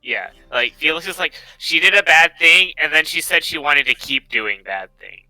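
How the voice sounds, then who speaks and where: A man banters casually as a player in a tabletop game. His voice is very bright and lively, slightly high, and captured on a dry close recording. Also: moderate pace, dry recording, poor audio. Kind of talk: casual banter, speaking in and out of character.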